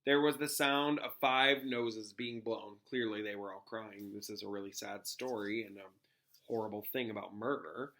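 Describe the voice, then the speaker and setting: A man's voice: on the low side, steady tone, normal speed, sharp tone. A man reading a storybook aloud as a teacher would, narrating with occasional stumbles.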